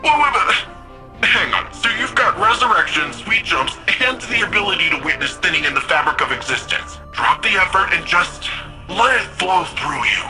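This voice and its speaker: An actor playing a young, cocky, laid-back futuristic hero in a video game, talking in a breezy, slangy way. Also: male voice, sits high, animated, normal speed, bright, forceful tone, heavily acted, rough recording. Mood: curious, excited. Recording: music behind the voice.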